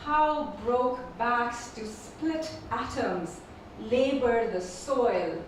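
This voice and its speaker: A woman whose first language is Urdu, reading her poems slowly and repeating each first line at a live poetry event. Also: fairly high pitch, a little hiss or hum. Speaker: featured poet. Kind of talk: poetry reading with spoken introductions. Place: performing arts venue. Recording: echoey hall from far off.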